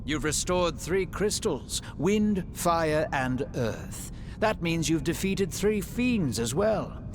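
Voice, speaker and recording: Slow, lilting, mocking trickster. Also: male voice, fairly high pitch, lively intonation, background noise. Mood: mock praise, teasing. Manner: mock-courteous, theatrical, teasing. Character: mocking, flamboyant male trickster greeting warriors. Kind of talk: theatrical video game cutscene acting.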